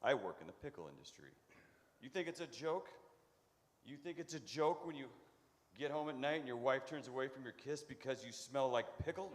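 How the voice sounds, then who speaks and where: Touchy, indignant male pickle pundit, played by an actor, very animated delivery, comic radio play in a reverberant hall. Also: mid-range voice, brisk, lightly acted. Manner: emphatic, indignant. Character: intense, touchy male pickle expert commentating like a sportscaster. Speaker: festival commentator. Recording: live, reverberant church hall.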